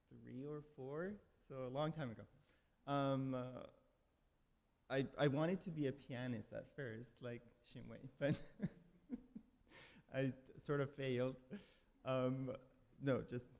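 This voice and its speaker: A man's voice: medium pitch, steady tone, slow, gravelly, darkish tone. A man from Iran, speaking as a guest musician at a service, hesitant with many fillers and restarts. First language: Persian. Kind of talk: casual spoken introduction. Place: church sanctuary. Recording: reverberant hall.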